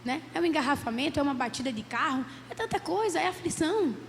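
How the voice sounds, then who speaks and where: A hoarse woman with a cold, a psychologist giving an earnest church talk and often asking "né?". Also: high voice, lively intonation, fast. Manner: earnest, many "né?" tags. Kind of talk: church lecture. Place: church hall. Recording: roomy hall with faint background noise.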